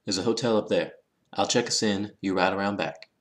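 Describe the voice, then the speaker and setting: A man acting as a practical western trail companion with a lowish voice. He gives brisk directions in an audio drama, over some background noise. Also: steady tone, close to an everyday voice. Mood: businesslike.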